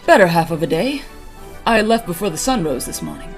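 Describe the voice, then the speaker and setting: A woman's voice: medium pitch, animated, brisk, faint music behind, lightly acted. An actress playing a steadfast orc warrior woman in a fantasy audio drama, formal and plain-spoken. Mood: formal, earnest. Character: steadfast female orc warrior with mace and shield.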